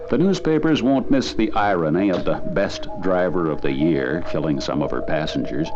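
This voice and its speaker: Deep-voiced, dramatic male film narrator. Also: creaky. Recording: dull 1980s film soundtrack with music.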